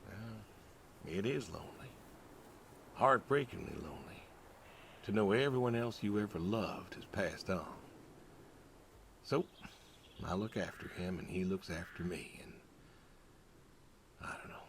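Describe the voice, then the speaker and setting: An actor playing a lonely, aging pig farmer, gentle and resigned, speaking hesitantly and wistfully in a naturalistic game performance. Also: male voice, deep voice, animated, a little slow, gravelly, long pauses, a little hiss or hum, heavily acted. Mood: sorrowful. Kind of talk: naturalistic narrative game voice acting.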